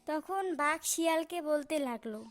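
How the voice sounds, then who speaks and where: A woman narrates a scripted children's fable. Her voice is very high and her pace is slow. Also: lively intonation.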